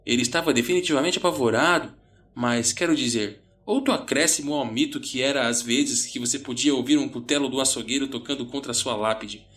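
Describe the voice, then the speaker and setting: A man's voice: medium pitch, fairly quick, a bit bright. A man narrating a scripted first-person horror story in a dramatic storytelling style. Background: background music.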